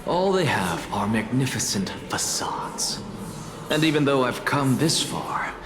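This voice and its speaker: An aloof, stubborn scholar in a video game. He speaks slowly and dryly in a low, slightly breathy voice. It echoes, with music clearly behind it. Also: big pitch swings. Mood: dry, defiant. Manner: dry, aloof, blunt. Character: proud, ailing male scholar, dry and stubborn. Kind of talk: scripted video game acting. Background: background music.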